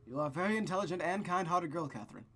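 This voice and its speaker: A melancholy young nobleman as a visual novel reader plays him. He is courteous, gentle and formal, with music playing behind the voice. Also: mid-range voice, lively intonation, very fast. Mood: tender.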